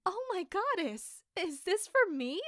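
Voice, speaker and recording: A woman's voice: very high voice, very animated, measured pace, very noisy, heavily acted. A woman playing a polite, observant royal maid in an audio drama, speaking articulately. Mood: excited, chatty. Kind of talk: audio drama acting with inner-monologue narration.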